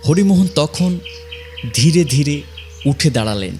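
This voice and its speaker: A man narrating a scripted ghost story in a suspenseful, measured way with dramatic pauses. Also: on the high side, expressive, darkish tone, slight background noise.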